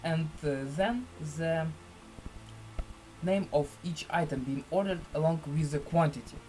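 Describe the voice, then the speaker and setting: A man presenting a screen-share software tutorial in halting, deliberate phrases with formal, elaborate wording. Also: on the high side, faint music behind, rough recording.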